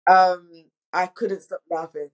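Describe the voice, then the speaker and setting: A woman's voice: on the low side, fairly even intonation, slow. A woman speaking informally and animatedly, telling anecdotes in a casual panel conversation as a director. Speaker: audio drama director.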